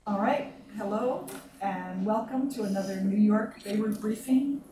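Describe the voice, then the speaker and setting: A woman hosting and moderating a panel briefing, introducing speakers in a measured, deliberate way with light fillers. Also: mid-range voice, dark tone. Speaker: host and moderator. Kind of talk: event introduction. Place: office room. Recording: roomy, distant mic, noisy.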